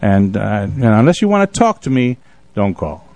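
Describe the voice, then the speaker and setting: A man's voice: fairly low pitch, big pitch swings, normal speed, a little mellow, no room sound. An older man from South Africa hosting a casual radio conversation, rambling and joking, often repeating his words. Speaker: radio show host.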